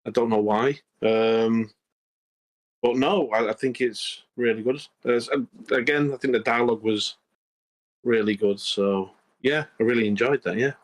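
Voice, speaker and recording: A man's voice: medium pitch, normal speed. A man giving casual, somewhat hesitant feedback on an online meeting. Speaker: script feedback participant.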